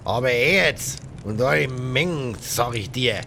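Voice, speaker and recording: A man's voice: mid-range voice, lively intonation, slow, vocal fry, sharp tone, a little hiss or hum, voice pushed up, full character voice. A man voicing a pirate crewman in an audiobook, telling tales in a colloquial, confiding way.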